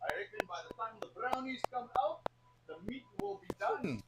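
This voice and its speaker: A man's voice: very high voice, wildly swooping pitch, moderate pace, over loud music. A South African man hosting a cooking stream, chatting casually and joking with filler words while he cooks. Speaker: cooking show host.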